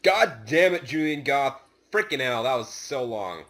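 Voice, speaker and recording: Slow, lively-pitched man making wry comments.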